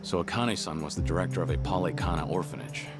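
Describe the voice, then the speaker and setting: A man's voice: low voice, fairly even intonation, fast, loud music behind the voice, close to an everyday voice. A man playing a stoic middle-aged tough guy in a video game cutscene, blunt, guarded and restrained. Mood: guarded, gruff. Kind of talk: dramatic game cutscene acting.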